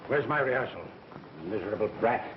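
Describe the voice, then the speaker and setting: An actor playing a pompous, two-faced bigwig, both bullying and oily, in a 1930s film. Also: male voice, mid-range voice, expressive, fairly quick, natural voice. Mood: irate. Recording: muffled, noisy 1930s film soundtrack.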